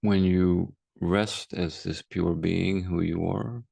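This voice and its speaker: A man guiding a meditation as a teacher, speaking calmly and unhurriedly with long pauses. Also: low-pitched, creaky, no room sound. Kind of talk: guided meditation, unscripted.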